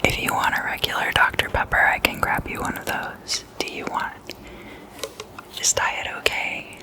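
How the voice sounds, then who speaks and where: An ASMR host chatting casually and intimately to the listener like a guest at a sleepover, entirely in whispers. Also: moderate pace. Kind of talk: whispered ASMR, casual chatty slumber-party role-play.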